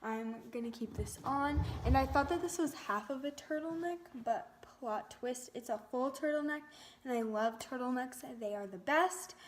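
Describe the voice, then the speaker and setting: Young woman vlogger, soft higher-pitched voice. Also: moderate pace, a little hiss or hum. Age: teens to young adult. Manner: gushing, peppered with "like". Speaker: beauty vlogger. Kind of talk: casual chatty vlog to viewers.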